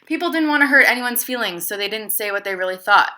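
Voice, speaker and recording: Woman reading as bright-voiced, chatty storybook emperor Twill. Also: on the high side, fast. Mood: confiding, exasperated. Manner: expressive, confiding storytelling with rhetorical questions. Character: embarrassed but clever male storybook emperor, chatty and self-justifying. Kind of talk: children's storybook read-aloud.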